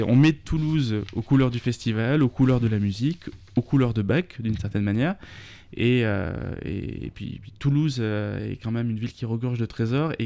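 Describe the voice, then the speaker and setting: A man's voice: medium pitch, vocal fry, warm tone, no room sound. A man, a festival organizer talking through a radio interview, full of fillers and restarts. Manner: hesitant, many fillers and restarts.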